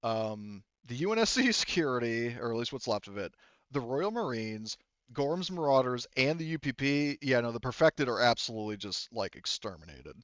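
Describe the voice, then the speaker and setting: Halting male game master, slightly muffled. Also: medium pitch, very animated, fairly bright tone, no room sound. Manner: halting, hesitant, rambling phrasing. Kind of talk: improvised tabletop game narration.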